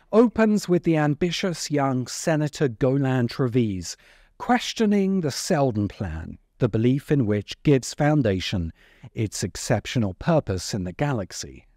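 A man's voice: mid-range voice, darkish tone, no echo. A middle-aged man hosting a video essay, delivering scripted narration into a close microphone. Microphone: close microphone on a stand in front of him.

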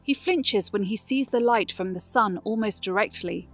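A woman reads scripted narration for a fantasy audio drama. Her voice sits at an average pitch, with faint music behind it. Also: moderate pace.

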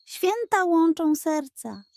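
A woman's voice: very high voice, very animated, takes it slowly, vocal fry, darkish tone, voice pushed up, heavily acted. Woman voicing a pompous, theatrical, cunning little pug. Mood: moved, solemn. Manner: theatrical, proud, solemn. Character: cunning, pompous male pug with grand speeches.

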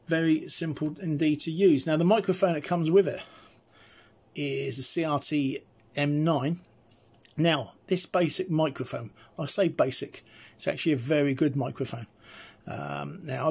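A middle-aged man with a slightly high-pitched voice presents a casual hands-on review. He is chatty and explains each feature as he goes, like someone showing his gear at home.

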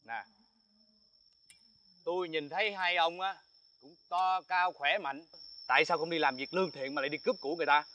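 A man's voice: a little high, normal speed, pauses often, sharp tone, lo-fi sound. A man playing a stern, unseen questioner who scolds two robbers.

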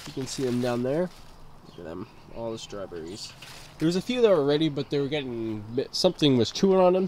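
A man's voice: on the high side, a little hiss or hum. Stuffed-up man touring his garden. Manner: relaxed, rambling, easily amazed. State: stuffed up from a cold. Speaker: gardening channel host. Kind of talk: casual garden tour vlog. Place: backyard garden outdoors at dusk. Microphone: handheld camera mic, filming himself walking.

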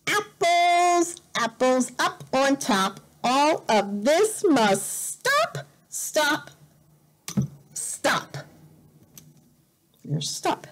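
A middle-aged woman teacher reads a picture book aloud to young learners, explaining simply, deliberately and expressively, repeating words. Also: high voice, sing-song. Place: indoor room at home.

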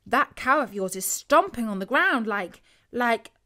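A woman's voice: a little high, expressive, unhurried, sharp tone. A British woman voicing a sceptical, chiding woman in a children's story, questioning and reproachful. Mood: indignant.

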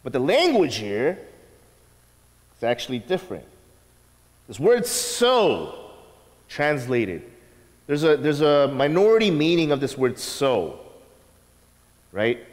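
A man in his thirties preaching a sermon from the stage of a church sanctuary, teaching with lively asides. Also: on the high side, slow pace, long pauses. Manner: animated teaching with acted quotes and asides. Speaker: pastor. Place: church sanctuary, large indoor room. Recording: long reverb of a church hall.

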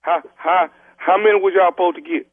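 A man putting on a stammering party rental clerk in a comic prank call, halting, repetitive and persistent. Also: high-pitched, steady tone, no room sound. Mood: patient, halting. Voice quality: heavy stutter. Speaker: prank caller. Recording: tinny, husky phone line.

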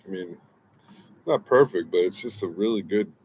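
A man's voice: on the low side, expressive, moderate pace, darkish tone, slight background noise, rough recording. A man in his forties giving a casual, meandering fragrance review outdoors, with lots of restarts and asides, on a close webcam. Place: outdoors by a brick wall, smoking.

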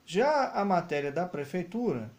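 A man's voice: high-pitched, big pitch swings, measured pace, darkish tone. A man giving news commentary, explaining as he points through web pages. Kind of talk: screen-recorded news commentary. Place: voice-over over screen recording.

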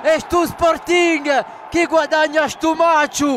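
A man calls a football match live in a very high-pitched voice. He is excitable and openly partisan, repeating phrases as he follows play. Crowd and stadium noise sit behind him. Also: takes it slowly. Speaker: football radio commentator.

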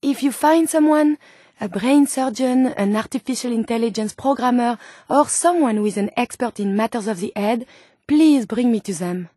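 Woman acting earnest French-accented robot scientist, higher voice. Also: normal speed, dark tone, no room sound, studio quality, close to an everyday voice. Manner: formal, precise, carefully worded. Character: earnest, polite female robot scientist with synthetic body. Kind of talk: video game character dialogue.

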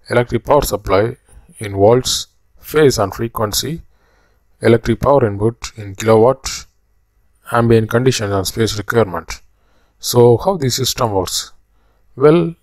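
A man narrating a slide lecture as a technical instructor, explaining step by step in halting phrases. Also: medium pitch, darkish tone, no echo.

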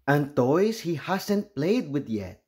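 A man from the Philippines reads a picture book aloud as a storyteller. He takes his time over each line, and his pitch moves up and down a great deal. He plays out dialogue and sound words expressively. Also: big pitch swings, slow, a little mellow. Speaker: children's storybook reader and host.

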